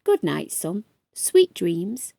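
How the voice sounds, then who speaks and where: Woman playing a kindly storybook dad, unhurried. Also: fairly low pitch, big pitch swings, gravelly, no room sound, voice pushed down, heavily acted. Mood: gentle, caring. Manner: gentle, patient explaining. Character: kindly, reassuring father of a young boy.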